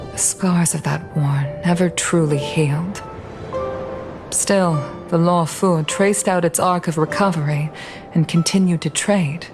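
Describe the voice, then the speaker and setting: A weary, sorrowful woman commander who has seen war. Her voice sits low and she speaks in a solemn, reflective way, as in a game monologue, with music clearly behind her. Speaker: helm master.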